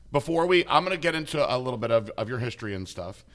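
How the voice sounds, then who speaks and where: A man's voice: medium pitch, big pitch swings, a little fast, a bit bright. A man hosting a casual interview, upbeat and chatty with frequent restarts. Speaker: host.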